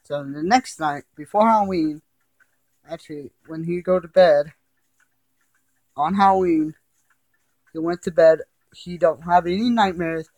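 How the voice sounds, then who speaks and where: A man with a slightly high voice tells a creepy story in an informal, amateur way. He goes slowly and haltingly, rambling and circling back over the same phrases. The sound is close and dry. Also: a little mellow, dry recording, rough recording. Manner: halting, rambling, repetitive delivery. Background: ticking and chiming clock.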